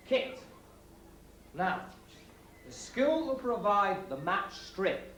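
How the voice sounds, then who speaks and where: Bossy teacher, high voice, swoops around, slow, roomy sound. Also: male voice, a little hiss or hum, full character voice. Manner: bossy, listing rules point by point. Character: brisk male schoolteacher briefing a boys' team. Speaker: schoolteacher. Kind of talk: 1980s TV drama acting.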